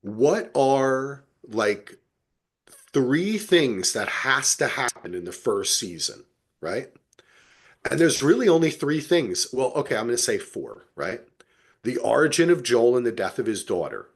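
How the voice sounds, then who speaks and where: A man podcasting in casual review chat, his pitch rising and falling in lively swings. The voice is somewhat husky, and he speaks with emphatic, opinionated conviction, swearing freely. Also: a little slow. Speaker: podcast co-host.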